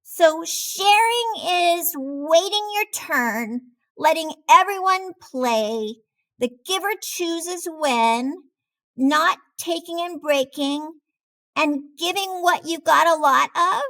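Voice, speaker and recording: A boisterous little boy shark from a picture book, acted out by a woman, pitched very high and swinging widely. He is excitable and easily confused, and he stretches his words out slowly. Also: heavily acted, rough recording. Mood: puzzled. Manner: exclaiming, dragging out words.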